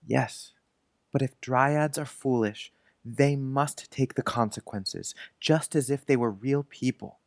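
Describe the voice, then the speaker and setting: A man's voice: medium pitch, slow, creaky, no room sound, studio quality. A man voicing a dreamy, imaginative little boy with a grave, wondering and precise manner, in an audiobook drama.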